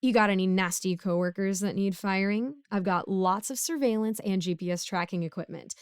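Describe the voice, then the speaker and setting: Woman doing a chatty broke lady villain neighbor, audio roleplay, clean crisp voice, very dry close sound, awkward and pleading. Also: medium pitch, fairly quick, no echo, close to an everyday voice. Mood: sheepish rambling. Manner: rambling, awkward, pleading and self-justifying. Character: broke, bumbling, chatty neighborhood supervillain asking favors. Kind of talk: casual conversational audio roleplay monologue.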